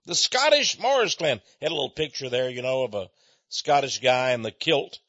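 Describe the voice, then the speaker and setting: A man's voice: mid-range voice, animated, moderate pace, fairly bright tone, dry recording, dull audio. An American man giving a rambling personal monologue to his listeners, folksy and digressive, with frequent chuckles. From United States. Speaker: speaker addressing listeners.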